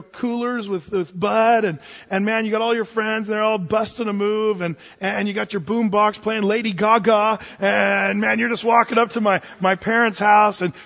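Male pastor, high-ish voice, conversational sermon with playful asides; muffled sound in a reverberant sanctuary. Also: gravelly, fairly bright tone. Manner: conversational, joking asides, acts out quoted voices. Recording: dull sound with long hall reverb.

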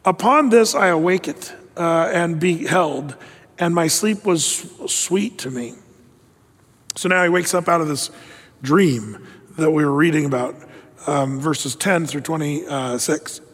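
A man's voice: fairly high pitch, a little slow. A man preaching a verse-by-verse sermon, talking in a conversational way, reading scripture aloud and often restarting his sentences. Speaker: pastor. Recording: hall reverb.

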